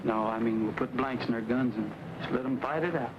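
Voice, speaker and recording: An actor playing an easygoing, level-headed cowboy hero with a relaxed, folksy manner in an old western. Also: male voice, mid-range voice, fairly quick, close to an everyday voice. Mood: easy reassurance. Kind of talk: old-time western film acting. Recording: noisy, muffled vintage soundtrack.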